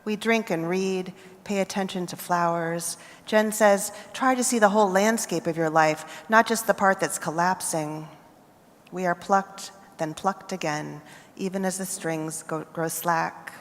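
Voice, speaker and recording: An American woman poet reading her poems aloud at a festival, with measured, deliberate phrasing and pauses between lines. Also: lowish voice. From United States. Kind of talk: poetry reading. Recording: reverberant hall.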